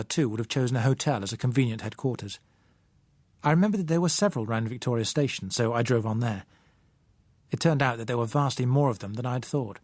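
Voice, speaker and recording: Male narrator, British accent, mid pitch, dramatic literary fiction read, measured pacing of phrases, very dry acoustic. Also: darkish tone, no echo. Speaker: audiobook narrator. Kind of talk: dramatic scripted novel narration.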